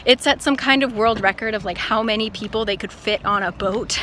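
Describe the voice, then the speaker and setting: A woman's voice: medium pitch, fairly quick, sharp tone. A young woman chatting casually and informally to camera as a travel vlogger, recorded outdoors on a handheld phone. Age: young adult. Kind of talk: casual vlog chat to camera. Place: outdoors in an open park and parking lot. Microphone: phone at arm's length. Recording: outdoor noise, wind and traffic ambience.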